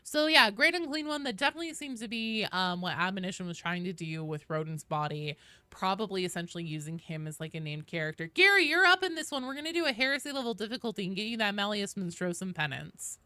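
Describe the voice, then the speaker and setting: A woman's voice: medium pitch, expressive, fast talker, bright, forceful tone, smooth, no room sound. A woman hosting a casual game livestream, chatting informally and explaining things at length as she talks directly to viewers. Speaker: video game streamer, host.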